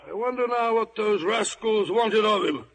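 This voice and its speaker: An actor playing an excitable, boastful country squire in theatrical adventure drama, pompous and given to repeating his exclamations. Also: sits high, moderate pace. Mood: astonished.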